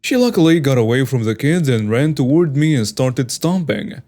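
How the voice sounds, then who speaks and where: A man reading online stories aloud in a steady, scripted narration. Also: mid-range voice, moderate pace. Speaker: story narrator. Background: faint background music.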